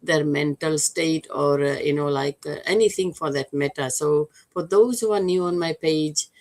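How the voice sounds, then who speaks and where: Low-voiced older woman. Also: a little mellow. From Fiji. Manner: measured, with frequent fillers. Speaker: guest. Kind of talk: personal story in an interview.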